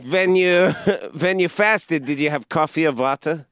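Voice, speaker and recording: A man's voice: on the high side, a little slow, fairly bright tone, clean tone, dry recording, clean studio sound. A man chatting casually, full of curious, jokey questions. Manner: inquisitive, jokey. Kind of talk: casual chat.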